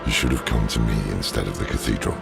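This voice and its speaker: An older male actor playing a weary, blunt, loyal old warrior in a fantasy game, gruff and gravelly. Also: fast, exaggerated voice. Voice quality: very deep, gravelly. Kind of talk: cinematic fantasy game acting. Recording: loud scary music behind.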